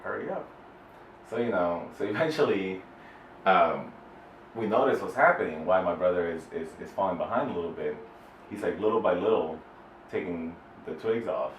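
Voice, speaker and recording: A man from El Salvador telling a personal story off the cuff, in a halting, relaxed way with many fillers and restarts. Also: sits low, slight background noise. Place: Houston interview space. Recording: roomy distant mic with faint ambience.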